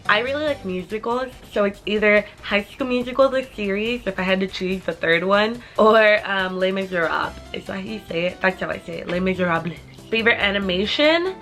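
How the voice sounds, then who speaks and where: A young woman in her twenties chats straight to her camera, answering viewer questions one after another. She rambles freely and drops "like" into most sentences. Her pitch sits in a typical middle range, and faint music plays underneath. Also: fairly bright tone. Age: 20s. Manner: chatty, rambling with many "like" fillers. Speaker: vlogger. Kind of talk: casual Q&A chat to camera.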